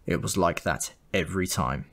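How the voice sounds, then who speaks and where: A man's voice: sits low, normal speed, vocal fry, fairly bright tone. A man reading a comic first-person story as an audiobook narrator, in a wry, dry manner.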